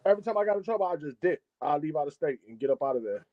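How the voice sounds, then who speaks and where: A man's voice: on the high side, expressive, very fast, no room sound, highs cut off. A man, a music engineer talking casually as a guest on a live-streamed interview, often repeating and restarting his phrases. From Chicago, United States. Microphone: video call.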